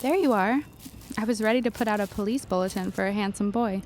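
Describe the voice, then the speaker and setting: A woman's voice: medium pitch, fast, darkish tone, slight background noise, close to an everyday voice. A woman playing a playful, witty, observant young woman in an audio drama.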